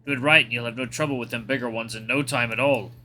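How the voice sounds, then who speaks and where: A man's voice: mid-range voice, fairly even intonation, fast, bright, forceful tone, soft background music, natural voice. A man voicing a huge, flirty raptor gym regular with an Irish accent, teasing and coaching in a visual novel. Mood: bossy coaching. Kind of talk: visual novel character voice-acting.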